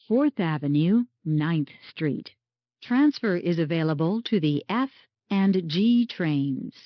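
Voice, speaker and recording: A woman's voice: lowish voice, no room sound. A woman reading scripted automated train announcements in clipped, segmented phrases, as a subway announcer. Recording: dull lo-fi transit announcement audio.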